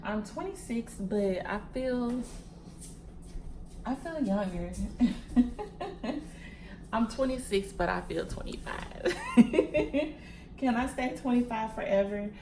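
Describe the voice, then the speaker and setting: A woman's voice: on the high side, expressive, slow pace, long pauses, darkish tone, a little hiss or hum. A woman in her twenties chatting casually to her viewers, repeating phrases and calling them "y'all" and "sis" while she packs wigs. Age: 20s. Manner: packing wigs while talking. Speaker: vlogger.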